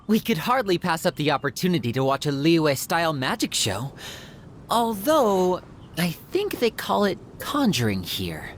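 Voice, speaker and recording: A man's voice: fairly high pitch, very animated, measured pace, a little hiss or hum. An actor playing a suave, courteous young male magician in a video game, with a polished, theatrical manner. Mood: genial.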